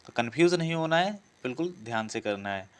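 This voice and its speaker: A man's voice: medium pitch, expressive, fast talker, clean tone. A man giving an instructional lesson, working through practice questions and repeating each before answering it. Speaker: teacher presenting exam practice questions.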